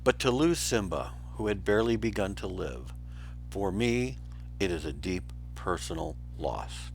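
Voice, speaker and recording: A man's voice: low-pitched, lively intonation, unhurried, vocal fry, faint music behind, exaggerated voice. Storybook villain lion, done by a male reader: pompous, scheming, oratorical, with dramatic rises and falls. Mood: feigned sorrow. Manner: grandiose, oratorical.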